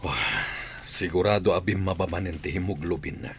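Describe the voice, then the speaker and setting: A man's voice: sits low, lively intonation, moderate pace, creaky, noisy background. A man playing a concerned, authoritative father in a radio drama, speaking with an Ilocano accent. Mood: concerned, grave. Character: concerned father, male head of household.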